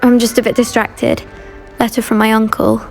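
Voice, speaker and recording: A woman playing a polite, gentle, slightly anxious teenage schoolgirl in a video game. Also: fairly high pitch, little pitch movement, normal speed, vocal fry, soft background music. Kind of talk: video game character dialogue.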